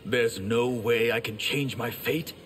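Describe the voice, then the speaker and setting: A man's voice: mid-range voice, unhurried, slight background noise, lightly acted. An actor playing a serious, questioning male fighter in a video game. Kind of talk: video game character dialogue.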